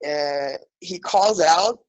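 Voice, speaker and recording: Woman in her 30s from brazil, deep voice, slow relaxed pace, chatty with lots of you know. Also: rough recording. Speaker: English teacher. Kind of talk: casual storytelling on a livestream. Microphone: video call.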